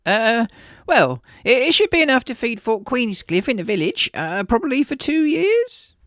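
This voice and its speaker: Woman playing a stammering timid villager, wildly swooping pitch. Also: very animated, normal speed, a bit bright, no echo, clean studio sound. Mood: nervous, hesitant. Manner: stammering, hesitant, apologetic. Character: flustered, timid middle-aged village man, nervously deferential. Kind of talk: acted story dialogue.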